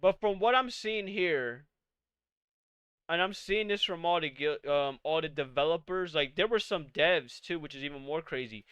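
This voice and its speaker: High, bright-voiced exasperated young man. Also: normal speed, clear, resonant tone, dry recording. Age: 20s. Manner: exasperated, profane, rhetorical questions. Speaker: podcast host. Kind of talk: live-streamed casual rant.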